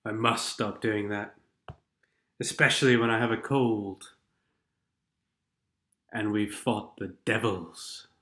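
A man's voice: fairly low pitch, fairly even intonation, slow, pauses often, sharp tone. Stuffy-nosed man drawling game commentary. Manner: sardonic, rambling, sings snatches of songs. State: stuffed-up nose from a cold. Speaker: gaming commentator. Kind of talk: casual game commentary over replays.

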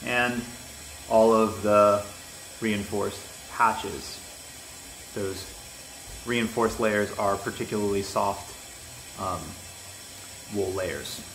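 A young man giving a relaxed, casual product review with frequent ums and restarts, recorded on a camera mic in a bare room. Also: lowish voice, drawn-out words, long pauses. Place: bare indoor room with wooden floor. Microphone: camera mic, near then from a distance. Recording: echoey room, faint hiss.

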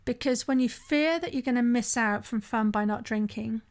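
A woman's voice: on the high side, moderate pace. A middle-aged woman hosting a solo podcast in a conversational way, often checking in with "right?". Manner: conversational, often asks "right?", occasional restarts. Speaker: podcast host and sober-living coach.

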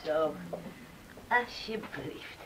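An actress playing a quiet, kindly housewife on an island in a radio play. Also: female voice, low-pitched, lively intonation, drawn-out words, exaggerated voice, poor audio. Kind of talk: radio drama acting.

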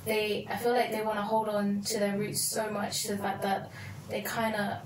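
A woman's voice: mid-range voice, normal speed, roomy sound, recorded from a distance, a little hiss or hum, rough recording. A young woman, telling a school story in a casual, filler-heavy way. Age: young adult. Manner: animated storytelling, lots of likes. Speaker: guest. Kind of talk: casual group chat. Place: living room, indoors.

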